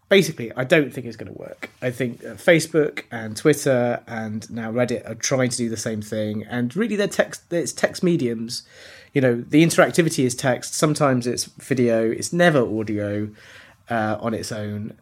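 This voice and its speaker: Opinionated man on a podcast panel. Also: mid-range voice. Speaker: podcast presenter. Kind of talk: casual panel discussion.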